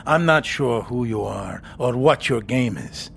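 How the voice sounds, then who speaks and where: An actor playing a curt, deadpan assassin riding as a suspicious taxi passenger in a comedic audio drama. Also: male voice, mid-range voice, measured pace, gravelly, a little hiss or hum, exaggerated voice. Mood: menacing. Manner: terse, deadpan.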